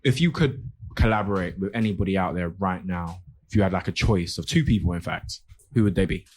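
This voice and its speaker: A man from West hosts the interview in a low voice. He talks fast, encouraging his guest with constant "yeah" and "mm-hmm" replies. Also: darkish tone. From West London. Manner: quick, encouraging, frequent "yeah" backchannels. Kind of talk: casual interview chat.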